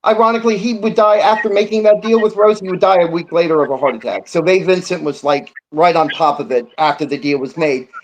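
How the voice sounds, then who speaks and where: A man's voice: a little high, fairly even intonation, quick pace, hardly any pauses, rough recording. A man in a casual sports debate, explaining his view in an insistent yet conciliatory way.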